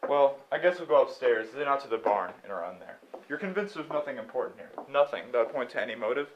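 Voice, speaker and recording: A man's voice: medium pitch, lively intonation, fast, slight background noise, lightly acted. A man acting in a stage play as a condescending county attorney, questioning people in a smug, probing way. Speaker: stage actor. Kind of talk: amateur stage play dialogue. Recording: dull, muffled stage recording.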